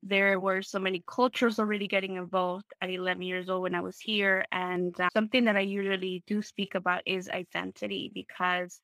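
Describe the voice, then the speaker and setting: A woman's voice: mid-range voice, flat delivery, brisk, few pauses, fairly bright tone, dry recording. A woman from Peru tells her personal story in an open, reflective, conversational way, often checking in with small tag questions. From Peru, small town. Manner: reflective, open, often seeks agreement with tags.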